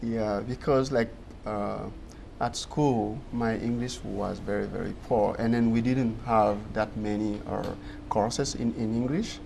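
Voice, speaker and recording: A man in his thirties from Guinea, a native French speaker, answering interview questions in an earnest, measured way. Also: on the low side, fairly even intonation, creaky, a little mellow, a little hiss or hum. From Guinea, West Africa. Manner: earnest, measured, laughs easily. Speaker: guest.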